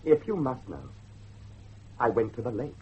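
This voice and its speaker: An actor playing a defiant, unhinged young grandson in a horror radio drama, with a husky, breathy voice. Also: male voice, medium pitch, animated, normal speed, lo-fi sound, background noise, lightly acted. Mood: exhilarated.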